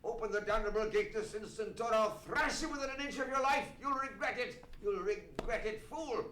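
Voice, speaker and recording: A furious gentleman bully in an old radio melodrama, bellowing and threatening. The voice is extremely high and forceful, ringing in an echoey space. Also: moderate pace, slight background noise. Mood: enraged. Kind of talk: old-time radio play acting. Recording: echoey live stage recording.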